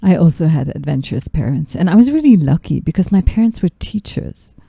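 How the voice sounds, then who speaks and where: Low, gravelly woman host, very dark tone. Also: very animated, fairly quick, no room sound, studio quality. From Zambia. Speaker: podcast host. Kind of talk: scripted narration.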